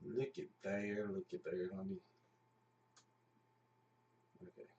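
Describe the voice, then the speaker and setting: A man's voice: deep voice, takes it slowly, mellow, far from the mic, highs cut off, a little hiss or hum. A man hosting a live stream of radio plays, chatting casually in a joking, rambling way. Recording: echoey room, off mic, music behind.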